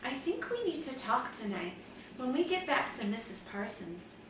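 A woman's voice: sits high, very animated, moderate pace. Lady doing serious big brother kid from a storybook, earnest, super echoey and noisy. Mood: suspicious. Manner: earnest, conspiratorial. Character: serious, watchful older brother, a boy, Henry. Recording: distant mic, very echoey room, noisy.

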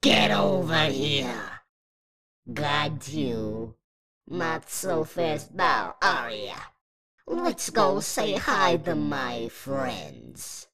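Game villain: playful, menacing jack-in-the-box creature, mock-friendly taunts, very slow delivery, swooping pitch. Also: sing-song, creaky, a crowd in the background, heavily acted. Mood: taunting. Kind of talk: fan-made game villain voice lines.

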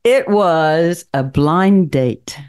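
A woman in her sixties from the Appalachian mountains of Kentucky, telling her story in a relaxed interview and laughing easily. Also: lowish voice, very slow, clear, resonant tone. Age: 60s. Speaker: city council member. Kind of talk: casual interview chat.

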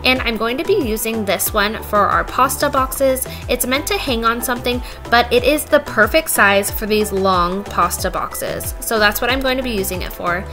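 Young woman, cheerful chatty voice-over, self-mocking, loud music underneath. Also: a little high, normal speed, hardly any pauses. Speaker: vlogger. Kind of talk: voice-over narration for a cleaning video.

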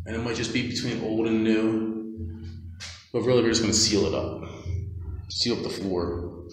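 A man's voice: on the low side, normal speed. A man casually narrating his own painting work as a handyman, talking off the cuff in an informal, rambling way. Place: small empty concrete basement room. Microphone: handheld phone or camera held by speaker. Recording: very echoey bare room, background noise.

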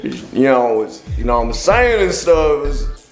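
A man's voice: medium pitch, lively intonation, unhurried, soft background music, close to an everyday voice. A man voicing a withered animatronic bear in a comedy skit, a laid-back, resigned mumbler whose slang is hard to follow. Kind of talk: improvised comedy fan skit voice acting.

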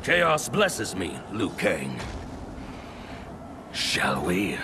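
An actor playing a gleeful, menacing, bloodthirsty agent of chaos in a video game, with savoring, mocking, theatrical delivery. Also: male voice, low voice, wildly swooping pitch, drawn-out words, gravelly, background noise, heavily acted. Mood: eager. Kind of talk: dramatic video game villain acting. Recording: music under the voice.

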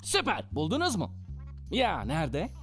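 A man's voice: high voice, huge pitch swings, a little slow, a bit bright, soft background music, heavily acted. A man dubbing a brash, impatient imp boss who swears a lot in a cartoon. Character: brash, foul-mouthed imp boss, adult male.

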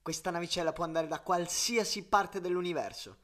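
A man's voice: high voice, steady tone, fairly quick, pushed and bright. A man voicing an arrogant young alien bully in a children's cartoon, boastful and sneering. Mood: mocking, boastful.

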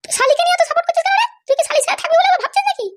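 A performer voicing a shrill, defiant young wife who screeches accusations in a comedy cartoon. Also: racing, noisy background. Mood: accusing.

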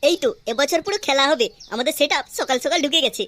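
A woman with a West Bengali accent voicing a cheeky, excitable young village sidekick in an amateur comic cartoon. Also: high voice, fast talker. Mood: eager.

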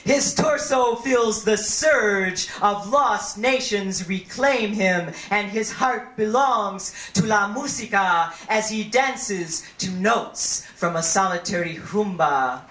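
Slow, theatrical woman poet in echo. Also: medium pitch, a bit bright. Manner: deliberate, theatrical delivery with drawn-out words. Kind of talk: live spoken-word poetry reading. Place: small brick-walled performance venue, indoors. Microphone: handheld stage microphone through a PA. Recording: echoey amplified 1990s live camcorder recording.

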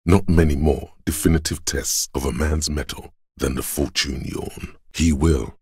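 An actor playing a gruff, menacing inner voice of a man's body in a video game, solemn and coaxing, with a husky rasp and deliberate delivery. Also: fairly even intonation, a little mellow, dry recording, clean studio sound, exaggerated voice. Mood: grave, ominous. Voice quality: very deep, gravelly, husky rasp. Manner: slow, deliberate, solemn, brooding. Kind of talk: scripted game voice lines, dramatic narration.

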